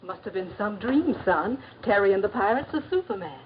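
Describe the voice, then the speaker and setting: A woman playing a soothing, indulgent middle-aged mother, gentle and proper, in a 1940s educational film. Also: mid-range voice, lively intonation, brisk, lightly acted. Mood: soothing, motherly. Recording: muffled 1940s film soundtrack, faint noise.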